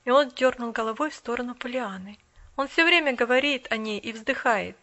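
A woman's voice: a little high, fairly quick, bright, forceful tone. A woman reading aloud and voicing a proud poor boy who talks urgently and tries hard to be polite. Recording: dull lo-fi recording.

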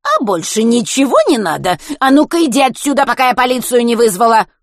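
A hostile old woman from an audiobook, as a narrator puts her on, high and loud. She scolds with suspicion and outrage, recorded dry. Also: animated, fairly quick, no echo. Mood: hostile, outraged. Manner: scolding, exclamatory.

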